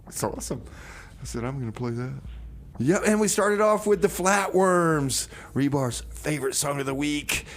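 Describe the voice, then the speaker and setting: A man playing a folksy radio host holed up in a bunker, easygoing and excitable. He rambles and repeats himself in casual comedy radio style, at a middling pitch. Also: natural voice. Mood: enthusiastic. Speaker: community radio music show host. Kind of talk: casual chat with improvised comedy radio theater.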